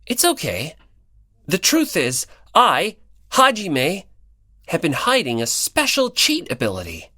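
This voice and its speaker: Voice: mid-range voice, huge pitch swings, takes it slowly, sharp tone, no echo, clean studio sound, exaggerated voice. A performer voicing an earnest, easily flustered, hot-headed teenage boy in an audiobook, with blustering, emphatic delivery. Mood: earnest bravado. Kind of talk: dramatic audiobook character dialogue.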